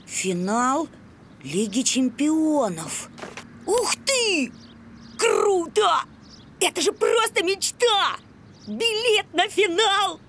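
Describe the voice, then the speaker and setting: Excitable, high-voiced cartoon schoolboy. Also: female voice, slight background noise, full character voice. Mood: amazed. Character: earnest, excitable cartoon schoolboy, shifty when cornered. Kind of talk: animated cartoon acting.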